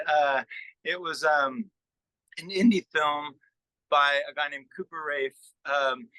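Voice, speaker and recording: The musician guest in a dry radio-style studio interview, a man with a bright, cutting voice, piecing his answers together haltingly and conversationally. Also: on the high side, no echo, poor audio. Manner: halting, searching for words, trailing off with "you know".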